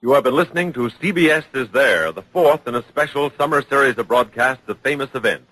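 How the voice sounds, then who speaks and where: A man's voice: medium pitch, lively intonation, fairly quick, bright, forceful tone, breathy edge, rough recording. A man reading programme credits as a 1940s radio announcer.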